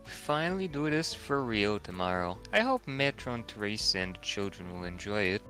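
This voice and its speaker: Young student heroine played by a man, friendly and earnest, bright tone, swinging intonation, for a fantasy game. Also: on the low side, lively intonation, a little fast, soft background music. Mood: pleased, earnest. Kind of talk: amateur game dialogue voicing.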